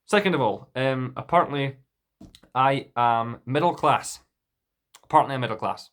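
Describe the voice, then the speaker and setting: A man's voice: medium pitch, moderate pace, a bit bright. A young man from Scotland with a mild Dundee accent, talking straight to camera in an indignant, defensive monologue full of restarts. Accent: Dundee Scottish, mild. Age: young adult. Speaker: vlogger.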